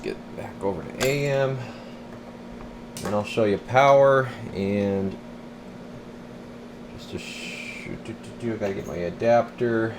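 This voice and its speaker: Male technician, deep voice, informal rambling demo style, noisy workshop sound. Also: measured pace, pauses often. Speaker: radio repair technician presenting his bench work. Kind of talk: casual hands-on demonstration vlog. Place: indoor radio repair workbench.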